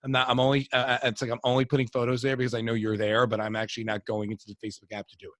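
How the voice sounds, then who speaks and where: A man's voice: medium pitch, monotone, very fast, fairly bright tone, no echo, studio quality. A man co-hosting a podcast in casual chat, rambling and joking with many restarts. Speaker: podcast co-host.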